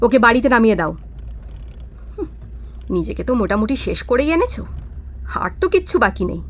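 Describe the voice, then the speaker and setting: An actress playing a fed-up, scornful ex-wife in an audio drama, cutting, commanding and reproachful. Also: female voice, fairly high pitch, sing-song, fairly quick. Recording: vehicle noise behind the voice. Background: car ride ambience.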